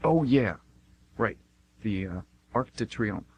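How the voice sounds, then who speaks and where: A man's voice: fairly low pitch, lively intonation, takes it slowly, slight background noise. A man playing an easygoing, chatty, naive American tourist in an amateur radio play, talking informally. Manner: informal, breezy.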